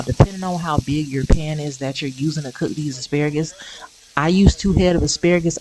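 A man's voice: medium pitch, a little hiss or hum. Folksy man chatting through a recipe. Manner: chatty, folksy, addresses viewers as y'all. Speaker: home cook. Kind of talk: casual cooking tutorial voice-over. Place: home kitchen.

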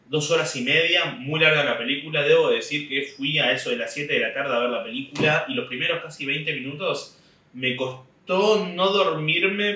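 A man's voice: a little high, pushed and bright, roomy sound, far from the mic, low-quality recording. A young man with an Argentine Spanish accent telling a rambling comic story in a casual monologue. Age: young adult. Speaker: comedian podcast host. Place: small home room indoors. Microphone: desk mic on stand, camera at distance.

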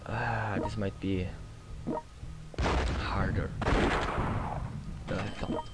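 A young man with a Brazilian Portuguese accent talks over his strategy game in a relaxed, rambling way, full of fillers and restarts. His voice sits fairly low. Loud game music and battle sounds play behind him the whole time. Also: drawn-out words. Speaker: gaming channel host. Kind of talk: casual gameplay commentary.

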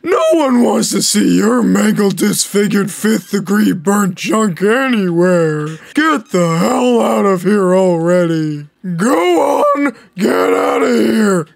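A woman voicing a sassy, hot-tempered young pink hedgehog in a comic dub, with a creaky, gravelly voice. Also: medium pitch, animated, slow pace, few pauses, no echo, exaggerated voice. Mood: angry, bossy.

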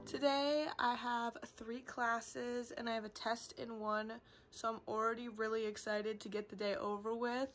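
A woman's voice: on the high side, little pitch movement, normal speed, hardly any pauses, fairly bright tone, faint music behind. Chatty young woman vlogging to camera. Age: young adult. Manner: chatty, peppered with "like". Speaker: college student vlogger. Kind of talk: casual vlog chat to camera. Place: small dorm room. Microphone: phone at arm's length.

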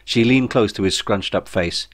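A man's voice: lowish voice, moderate pace. A man reading a comic novel as scripted audiobook narration, in a wry storytelling manner. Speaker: author reading own novel.

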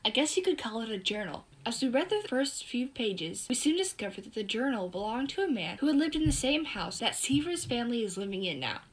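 A woman's voice: a little high, very animated, a little fast, hardly any pauses, rough recording. A female performer voicing an eager, bossy, clever young girl who confidently explains things in a scripted audio drama. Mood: intrigued.

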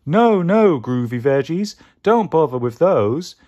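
A man's voice: on the high side, big pitch swings, takes it slowly, mellow, muffled recording, pitched above the performer's own voice, full character voice. A man voicing a laid-back, mystical hippie mango in a children's storybook, full of drawling hippie slang. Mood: relaxed, advising.

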